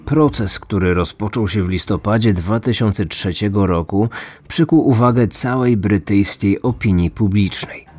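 A man's voice: fairly low pitch, measured pace, darkish tone, husky. A man hosting a true-crime podcast, reading scripted narration. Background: music.

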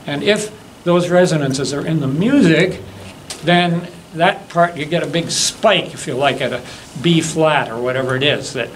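A man in his seventies giving an informal demonstration talk, halting and anecdotal as he rambles along. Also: fairly high pitch, rough recording. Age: 70s. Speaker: guest demonstrator and hobbyist. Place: classroom lecture room. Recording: faint room noise.

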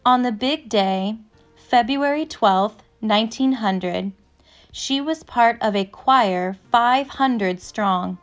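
Slow-paced woman reading a storybook aloud. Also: on the high side. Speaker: narrator. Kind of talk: scripted children's picture book read-aloud. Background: instrumental music.

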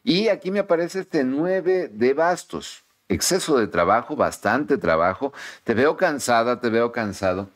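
A man's voice: medium pitch, very animated, a little slow. A middle-aged man giving a tarot reading to camera in a home study, speaking in an emphatic, repetitive, encouraging pep-talk manner. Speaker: tarot reader. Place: home study with bookshelves, indoors.

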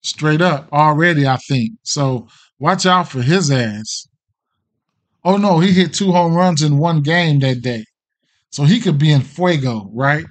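A middle-aged man with an American accent and a slightly higher-pitched voice. He talks like a casual sports betting streamer, thinking out loud and trailing off as he goes. His delivery is loose, slangy and peppered with profanity. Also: measured pace. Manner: slangy, profane, hesitant and rambling. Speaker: sports betting show host. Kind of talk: casual off-the-cuff livestream betting picks.

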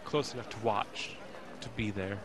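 A man playing a frightened young man, narrating a tense ordeal in first person in an audio drama, halting and intense. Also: fairly low pitch, noisy background, natural voice. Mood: tense dread. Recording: busy airport crowd behind.

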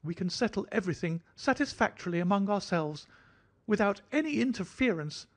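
A man voicing a jittery, fussy little man of about thirty, an anxious hypochondriac with a thin, high voice. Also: lively intonation, a little fast. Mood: fretful. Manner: fidgety, effusive, fretful.

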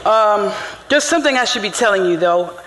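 A woman's voice: mid-range voice, lively intonation, brisk, a little hiss or hum, close to an everyday voice. A middle-aged woman playing a firm, caring school principal in a stage drama, speaking emphatically. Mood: polite, flustered. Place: theatre stage before an audience. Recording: echoey theatre hall, loud music.

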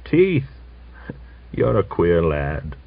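A man voicing a pious, bitter old man of nearly a hundred, who scolds and preaches and reads scripture slowly and solemnly. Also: low-pitched, huge pitch swings, vocal fry, heavily acted. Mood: wistful. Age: older. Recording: dull dry recording.

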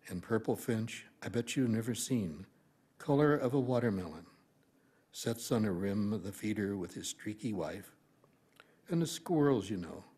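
A man in his seventies with a husky voice, reading a poem aloud at a podium microphone. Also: on the low side, a little mellow. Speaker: amateur reader in a reading-aloud group. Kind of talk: poetry recitation. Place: library meeting room, indoors.

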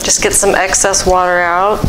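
Female craft vlogger, slightly low register, casual and unhurried, thinking aloud, noticeable household noise behind. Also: level tone. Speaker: craft vlogger demonstrating fleece washing. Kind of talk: casual hands-on tutorial chat. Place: home kitchen. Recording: clear background noise, household ambience.

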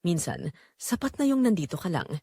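A woman narrator reads as a young auto shop mechanic, a friendly and caring man in an audio romance drama. The delivery is expressive, in a dry recording. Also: lowish voice, brisk, husky, no echo, heavily acted. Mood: gentle, reassuring.

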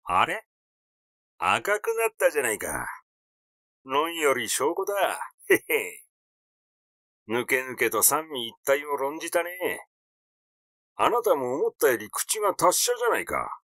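A man's voice: mid-range voice, very animated, measured pace, pauses often, sharp tone, no room sound, studio quality. A man voicing a glib, cheeky, eccentric male character in an audiobook, brash and colloquial in a teasing way. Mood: mocking.

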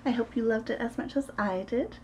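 A woman in her thirties reading a picture book aloud to preschoolers in an expressive, sing-song way, as host of a story time. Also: a little high, brisk, a little hiss or hum. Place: small room at home, indoors.

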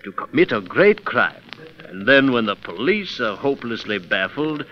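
An actor playing a boastful, scheming French ex-criminal turned detective in theatrical 1930s radio style, sprinkling in French exclamations. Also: male voice, medium pitch, very animated, moderate pace, a little hiss or hum, lightly acted. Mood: conspiratorial. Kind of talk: 1930s radio drama acting. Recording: dull 1930s radio recording.

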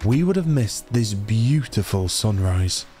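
A man's voice: lowish voice, a little slow, soft background music. Chatty man with a cold. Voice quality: a bit nasal. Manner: chatty, excitable, rambling asides. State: has a cold, blocked nose. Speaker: gaming YouTuber. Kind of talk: casual let's-play commentary.

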